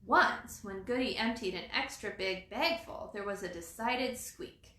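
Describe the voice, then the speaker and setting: A woman reading a children's storybook aloud as storyteller, in an expressive, dramatizing manner. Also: medium pitch, a little slow. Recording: roomy echo.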